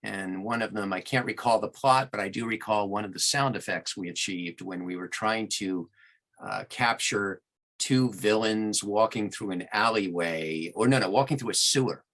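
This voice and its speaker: A man's voice: fairly low pitch, moderate pace, a bit bright. A middle-aged man from Long Island, an audiobook producer telling stories conversationally as a podcast guest. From Long Island, New York, United States. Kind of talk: conversational storytelling in an interview.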